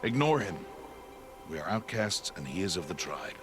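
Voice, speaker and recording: An actor playing a stern, middle-aged hunter father who gives gruff, measured instruction in a video game. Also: low-pitched, very animated. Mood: guarded, firm. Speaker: mentor and guardian. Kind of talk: video game cutscene acting. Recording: game audio with loud music bed.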